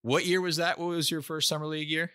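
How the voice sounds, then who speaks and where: A man hosting a podcast interview, affirming his guest and asking open questions in a friendly way. His voice is bright and forward at a middle pitch. The recording is close and dry. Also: level tone, quick pace, clear, resonant tone, no echo. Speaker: podcast host. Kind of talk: casual interview conversation.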